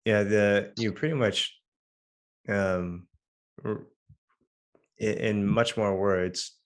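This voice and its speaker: Hoarse young man, podcast host, lowish voice, halting and filler-heavy casual talk. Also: fairly even intonation. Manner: halting, many fillers and restarts. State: hoarse from losing his voice. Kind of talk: casual conversational interview.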